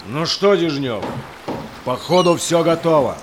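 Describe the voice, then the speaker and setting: An actor playing a grave, honourable Cossack expedition leader, delivering rhymed audio drama verse in a measured way. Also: male voice, on the high side, animated, noisy background. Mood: grave, wary.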